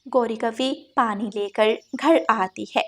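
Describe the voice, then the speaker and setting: A woman's voice: fairly high pitch, steady tone, slow pace, fairly bright tone. A woman narrating a scripted children's moral story.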